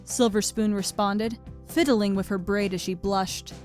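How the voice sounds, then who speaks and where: A woman narrates a scripted story in a medium-pitched voice. She reads as a storybook narrator, with music playing quietly underneath. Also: steady tone, measured pace.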